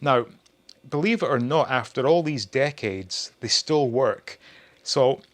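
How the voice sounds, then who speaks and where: A man's voice: mid-range voice, lively intonation, takes it slowly. A man in his forties hosting a casual hobby review, chatting wryly to his viewers. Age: 40s. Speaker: host of a retro toy channel.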